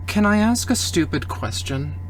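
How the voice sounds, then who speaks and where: A man's voice: on the high side, expressive, normal speed, a little hiss or hum. A man narrating an improvised tabletop roleplay as game master.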